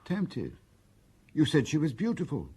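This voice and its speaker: A gently curious colleague, with a slightly husky voice that moves with lively pitch. He prompts with soft, prodding questions. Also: a little slow, heavily acted.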